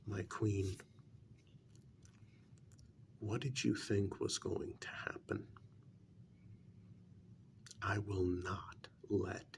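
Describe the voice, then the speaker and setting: Man playing a gravelly, half-whispering devoted vampire's familiar. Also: low voice, exaggerated voice. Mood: resolute, protective. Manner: slow, deliberate, hushed, with long pauses. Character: devoted, intense human familiar of a vampire queen. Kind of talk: soft-spoken ASMR role-play.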